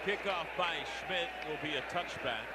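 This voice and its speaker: An American man calling football play-by-play on the radio in clipped phrases, getting excitable on big plays. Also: on the high side, normal speed, a loud crowd. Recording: very noisy stadium radio broadcast. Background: loud stadium ambience.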